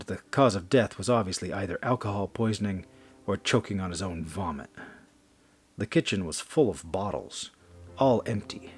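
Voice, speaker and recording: A man's voice: on the low side, slightly rough. A man reading a scripted horror story as narrator, with a theatrical, suspenseful delivery.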